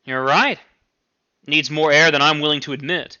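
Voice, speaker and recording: A man's voice: fairly high pitch, steady tone, moderate pace, sharp tone, smooth, muffled recording. A man voicing a whimsical, teasing young man in a story, with playful mock-serious emphasis.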